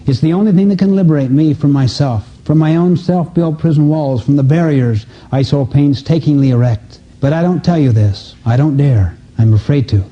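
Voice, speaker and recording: A man reciting as an insecure, frightened soul, in an earnest, measured, confessional dramatic delivery on a dull lo-fi recording. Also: a little high, dark tone, close to an everyday voice. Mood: pleading, vulnerable.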